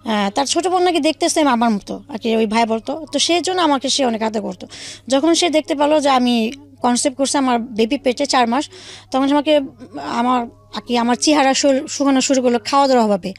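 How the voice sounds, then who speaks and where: A woman's voice: high voice, quick pace. A woman with a Bangladeshi accent telling her own life story as an interview guest, in colloquial speech with quoted dialogue.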